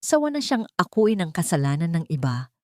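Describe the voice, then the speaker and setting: A woman's voice: fairly low pitch, very animated, brisk, warm tone, no echo, clean studio sound. A woman reading a scripted dramatic story as its narrator.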